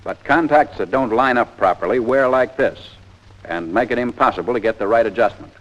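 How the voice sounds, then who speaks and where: A man's voice: mid-range voice, a little fast, a bit bright, poor audio. An American man narrating a 1940s army training film in a scripted, plain-spoken, methodical instructional style.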